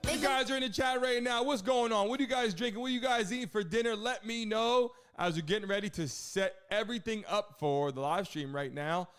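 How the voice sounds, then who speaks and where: A man's voice: high-pitched, a little fast, few pauses, fairly bright tone, clean tone, faint music behind. A man hosting a casual live sports stream, talking to viewers in an informal hype style with catchphrases.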